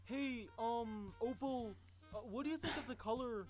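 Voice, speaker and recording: An actor voicing an anxious, timid female pony fashion designer in a comedic cartoon fan dub, halting and talking to herself. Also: mid-range voice, faint music behind, natural voice. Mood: timid, sweet.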